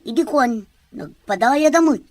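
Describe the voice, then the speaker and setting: A woman's voice: high-pitched, expressive, measured pace, no echo. A woman playing a hesitant, curious villager in a serial drama. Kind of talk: acted drama dialogue.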